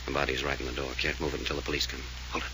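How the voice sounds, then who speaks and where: A brusque investigator in a 1940s radio mystery. His low voice is hard and bright, moving fast with dry, unflappable command. A slight noise from the old transcription runs under it. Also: level tone, full character voice, low-quality recording. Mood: dry, probing. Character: brusque, unflappable male investigator taking charge.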